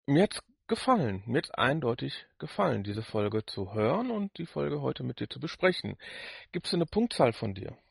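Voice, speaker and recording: Man, medium pitch, dry sound. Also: very animated, moderate pace, vocal fry, slightly rough, no room sound. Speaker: podcast co-host reviewing audio dramas. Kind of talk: casual chat.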